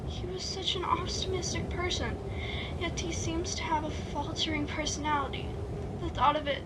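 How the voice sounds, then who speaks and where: A woman performs a gentle young heroine with a very high voice. She is earnest, hopeful and easily worried, pleading like a character in a hobby audio drama. The recording has a noticeable noisy rumble. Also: flat delivery, moderate pace. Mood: sorrowful. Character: gentle, hopeful, anxious young female named Melody. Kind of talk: amateur script-read voice acting. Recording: noisy home microphone with rumbling hiss.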